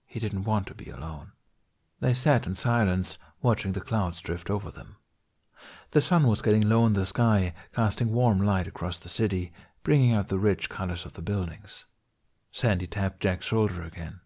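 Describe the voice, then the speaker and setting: A man's voice: on the low side, moderate pace, a little mellow, breathy edge. A man reading a fantasy story aloud as a scripted audiobook-style narration. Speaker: podfic narrator reading fan fiction.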